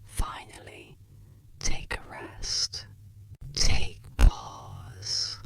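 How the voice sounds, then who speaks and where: A breathy, gentle whisper, speaking soothing and reassuring words in the style of an ASMR guided relaxation session. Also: low voice, big pitch swings, very slow. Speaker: ASMR therapist roleplayer.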